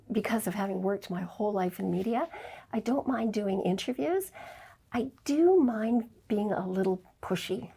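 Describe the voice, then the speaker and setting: An older woman born in Scotland gives a candid, reflective interview, pausing with fillers. Her voice is gravelly and husky. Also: mid-range voice, darkish tone. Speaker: interview guest, documentary filmmaker. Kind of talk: conversational interview.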